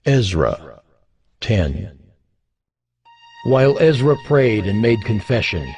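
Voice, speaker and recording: A man's voice: medium pitch, darkish tone. Male scripture narrator over music.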